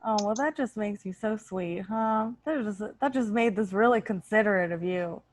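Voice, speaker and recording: A woman's voice: mid-range voice, normal speed, pitched below the performer's own voice, lightly acted. A woman playing an exasperated, suspicious girlfriend with an incredulous manner in an improvised comedy sketch. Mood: incredulous, sarcastic. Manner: incredulous, hesitant repetition. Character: exasperated, suspicious girlfriend nagging her partner.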